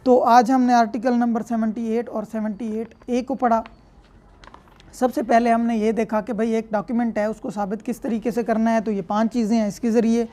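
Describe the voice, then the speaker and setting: Female law lecturer, Pakistani accent, highish pitch, teaches step by step. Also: little pitch movement, normal speed, warm tone. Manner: explains step by step with everyday examples and frequent check-in tags.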